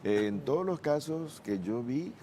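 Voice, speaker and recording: A man speaking as a forensic psychiatrist on a TV panel, explaining cases in a measured, factual way. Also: fairly high pitch, expressive, warm tone, a little hiss or hum. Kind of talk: studio panel discussion.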